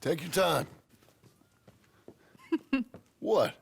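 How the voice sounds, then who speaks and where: An American man playing a gruff, gravelly middle-aged cowboy in a video game, laconic and a little awkward but tender and teasing. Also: on the high side, sing-song, extremely slow, slight background noise, full character voice. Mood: teasing, mock-offended. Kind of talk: video game acting, casual banter.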